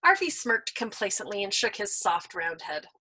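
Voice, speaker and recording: A woman reading a novel aloud as a storyteller, expressive, with small slips and self-corrections. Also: medium pitch, normal speed, husky.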